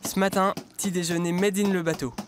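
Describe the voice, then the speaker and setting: Male voice-over narrator, light and wry, fairly high, music in background. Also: level tone, fairly quick. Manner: light, playful and self-mocking. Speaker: crew member narrating the episode. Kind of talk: scripted documentary voice-over.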